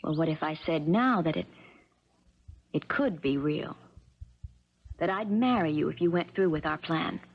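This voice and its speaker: An actress playing a spoiled, scheming young rich daughter in a 1970s radio drama, insistent and coaxing in a self-assured way. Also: female voice, deep voice, animated, measured pace, long pauses, full character voice. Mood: cold, coaxing. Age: young adult. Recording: muffled vintage radio broadcast recording.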